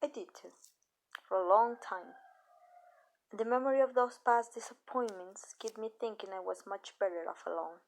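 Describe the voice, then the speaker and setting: A woman acts out a female vampire who owns a human and talks to them in a calm, possessive way. Her speech is soft and measured, with deliberate pauses, at a middling pitch for a woman. The delivery is an intimate ASMR roleplay monologue. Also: gravelly, close to an everyday voice, low-quality recording. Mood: wistful, measured. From Mexico. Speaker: amateur voice actress.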